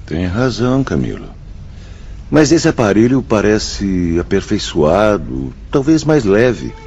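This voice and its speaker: A man's voice: fairly low pitch, big pitch swings, slow pace, darkish tone, full character voice. An actor playing a grave, devout male spirit in a radio drama. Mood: thoughtful. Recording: muffled audio with faint music.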